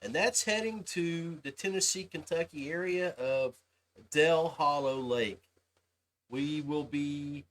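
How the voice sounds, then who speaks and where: Guy hosting a casual livestream, voice a bit high, chatting informally to the viewers. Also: fairly bright tone. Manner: informal, addresses listeners as guys. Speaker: podcast host.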